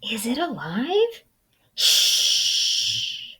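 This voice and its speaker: A woman voicing a timid, excitable crowd of small prairie dogs in a picture book, with exclamatory delivery that swoops from hushed to squealing. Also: on the high side, drawn-out words, breathy edge, voice pushed up, exaggerated voice, low-quality recording. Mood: wary, curious.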